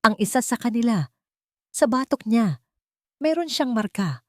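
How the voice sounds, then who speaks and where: Lady narrator doing hurt grown son in a family drama story, intense, halting, accusing, dry sound. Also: on the high side, sing-song, dry recording, pitched above the performer's own voice. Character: anguished, accusing grown son of rich matriarch.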